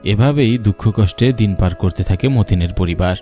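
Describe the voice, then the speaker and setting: Dark, low-voiced male story narrator. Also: moderate pace, soft background music. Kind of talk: scripted cartoon story narration.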